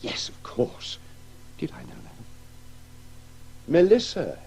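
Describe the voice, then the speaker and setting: An actor playing a distracted, grieving middle-aged English husband with a halting delivery in a radio drama. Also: male voice, fairly high pitch, huge pitch swings, a little mellow, husky, exaggerated voice. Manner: absent-minded, halting. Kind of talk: 1980s radio play acting.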